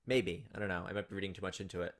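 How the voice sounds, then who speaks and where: A man's voice: low-pitched, rapid-fire, slight background noise. A man reading game text aloud as a let's play host, adding informal, playful commentary. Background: game music.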